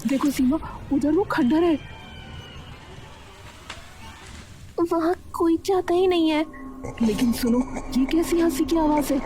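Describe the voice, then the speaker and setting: A woman's voice: sits high, normal speed, pitched above the performer's own voice, natural voice. A woman voicing an eager, daring young village girl in a cartoon story, with an urgent manner. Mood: curious, uneasy. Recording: music behind the voice.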